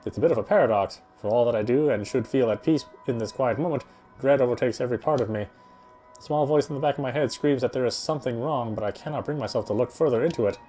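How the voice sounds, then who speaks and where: A man reading visual novel narration aloud in a dramatic, reflective way with deliberate phrasing. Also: medium pitch, mellow, faint music behind. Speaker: game streamer reading aloud.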